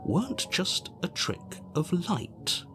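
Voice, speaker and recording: A man's voice: on the high side, huge pitch swings, takes it slowly, fairly bright tone, full character voice. An actor playing an exasperated, sardonic narrator in a video game, wry, theatrical and scolding in his delivery. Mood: ominous narrating. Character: exasperated, sardonic male narrator urging the hero onward. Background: music.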